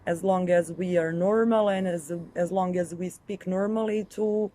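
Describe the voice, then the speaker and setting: A woman from Serbia, Serbian native, giving a calm, earnest recorded message. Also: lowish voice, normal speed. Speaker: viewer contributor.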